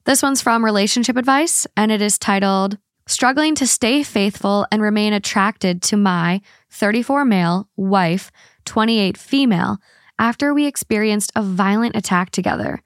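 A woman co-hosting a casual podcast, reading long online posts aloud in an even, steady way and chatting between them. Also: mid-range voice, normal speed, dry recording, clean studio sound. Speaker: podcast co-host.

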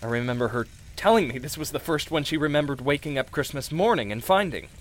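A man playing a grieving widower and recovering alcoholic in a radio play, stammering and halting with emotion. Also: fairly high pitch, animated, natural voice. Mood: stunned, awed.

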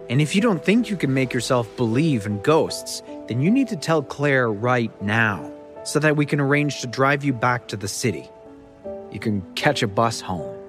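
A man's voice: medium pitch, pitched above the performer's own voice, close to an everyday voice. A man voicing a stern, protective father in a story, speaking in a firm, intimidating way. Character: stern, threatening father, protective brother-in-law.

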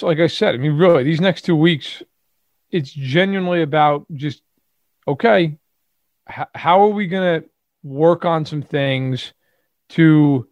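A man's voice: on the high side, dry recording, muffled recording. A man co-hosting a sports podcast, giving emphatic opinions in casual talk full of fillers and restarts. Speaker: podcast co-host. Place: lives in Chicago.

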